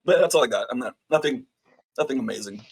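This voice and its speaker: A hoarse man, a player on the stream, chatting casually and laughing at his own jokes. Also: medium pitch, expressive, quick pace. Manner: giggly, self-mocking. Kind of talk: casual chat.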